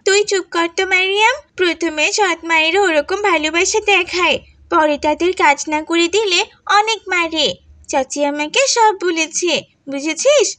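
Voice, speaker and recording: A woman's voice: very high-pitched, moderate pace, a bit bright, dry recording. A woman voicing a stubborn, distrustful seven-year-old village girl in a cartoon, childlike and insistent. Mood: defiant. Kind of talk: animated moral story voice acting.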